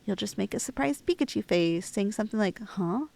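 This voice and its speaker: A woman's voice: medium pitch, lively intonation, brisk, gravelly, darkish tone. A woman playing a lovestruck, clingy young woman in an intimate ASMR roleplay, playful and hesitant, with a teasingly unhinged streak. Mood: wistful.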